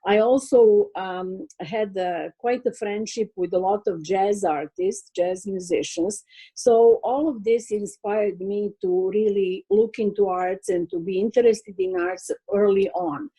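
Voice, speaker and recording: A woman's voice: mid-range voice, warm tone, breathy edge, rough recording. An older woman from Serbia with a Serbian accent, answering interview questions as a guest artist over a video call, hesitant and full of fillers. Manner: hesitant, many fillers, slow thoughtful pace.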